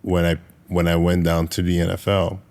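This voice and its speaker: A male podcast host with a Québécois French accent speaks English in a low voice. He talks in an easy, conversational way and draws parallels with his own experience. He keeps his guest going with steady agreement. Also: moderate pace. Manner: supportive listener, frequent "mm-hmm" and laughs. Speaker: podcast host, former football player. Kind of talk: casual interview conversation.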